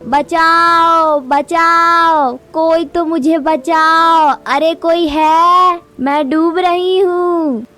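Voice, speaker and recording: A woman's voice: very high voice, fairly even intonation, extremely slow, few pauses, bright, forceful tone, pitched above the performer's own voice, heavily acted. A woman voicing a lazy, selfish bird auntie with a theatrical, whiny delivery in a children's cartoon story. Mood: panicked wailing. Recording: music behind the voice.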